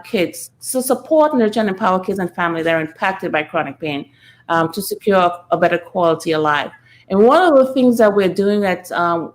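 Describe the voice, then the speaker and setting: A middle-aged woman, explaining earnestly and conversationally as an interview guest. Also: medium pitch. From Trinidad. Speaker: podcast guest, speaker and nonprofit founder.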